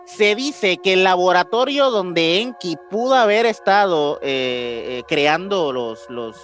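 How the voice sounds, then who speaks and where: High-pitched Mexican man hosting a podcast. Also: lively intonation, slow, a bit bright, soft background music. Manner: informal, slangy, often searching for words. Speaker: podcast host. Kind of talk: casual podcast storytelling, part scripted.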